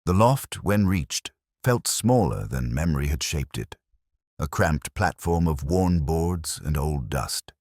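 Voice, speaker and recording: A man with an extremely low voice narrates a detective mystery in the first person. His delivery is measured and solemn, with expressive swings in pitch. The recording is dry and close. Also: dry recording.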